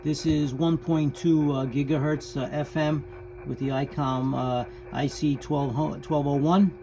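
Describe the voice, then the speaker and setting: A man hosting a hobby radio channel, talking casually and haltingly as he explains things in an informal vlog style. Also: fairly high pitch, level tone, mellow. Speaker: host of a ham radio channel. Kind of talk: casual vlog talk about radio operating. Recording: clear background noise.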